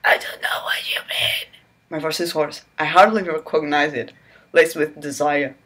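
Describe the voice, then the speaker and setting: A woman's voice: deep voice, moderate pace, a bit bright. A woman hosting her channel, reading a romance novel aloud in an over-the-top theatrical way and breaking off for sarcastic jokes. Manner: theatrical, breaks off to joke and squeal. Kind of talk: mock-dramatic reading with sarcastic asides.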